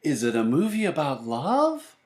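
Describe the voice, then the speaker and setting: Storybook role: sweet, pleading sister, played by a man, raised pitch, roomy room sound. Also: wildly swooping pitch, unhurried, a little mellow. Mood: curious. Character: mild, eager, pleading younger sister.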